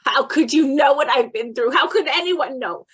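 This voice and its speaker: Actress as bitter older-style radio drama mother, high voice, despairing, grief-worn. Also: normal speed, fairly bright tone. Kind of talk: old-time radio drama acting.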